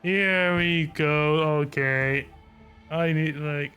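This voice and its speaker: Slow-talking, laid-back man streaming games. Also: on the high side, fairly even intonation, pushed and bright, clean tone. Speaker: streamer reacting to a game. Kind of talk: casual game reaction commentary. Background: game music.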